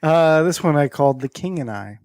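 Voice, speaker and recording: A man's voice: a little high, animated, fairly quick, darkish tone, dry recording. A man hosting a podcast and reading out a list of strange stories in a casual way, now and then tripping over names; his first language is Greek. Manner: storytelling, stumbles over names and words. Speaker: podcast host presenting a list.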